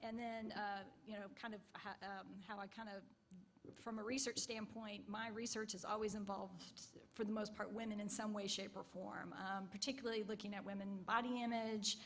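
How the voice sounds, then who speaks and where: A woman's voice: mid-range voice, little pitch movement, moderate pace, a little hiss or hum. A woman advertising professor introducing herself on a panel, casual and hesitant with many fillers.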